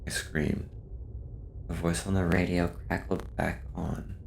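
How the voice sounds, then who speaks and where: Deep, gravelly man narrating slowly. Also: level tone, slight background noise. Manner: slow, measured, subdued delivery. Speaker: horror story narrator. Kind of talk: scripted first-person horror narration.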